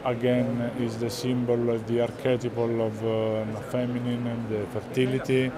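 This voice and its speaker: A middle-aged man with an Italian accent explaining artworks to visitors, pausing often to search for words. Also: medium pitch, level tone. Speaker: art gallerist presenting works. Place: large indoor art fair hall. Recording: busy hall crowd noise.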